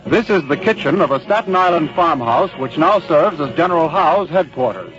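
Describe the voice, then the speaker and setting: An actor playing a courteous, probing American war correspondent in an old-time radio drama, speaking formally and with measure. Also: male voice, on the high side, expressive, a bit bright. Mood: descriptive, composed. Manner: formal, measured, politely challenging. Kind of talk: old-time radio news interview acting. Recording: muffled vintage radio, band music behind.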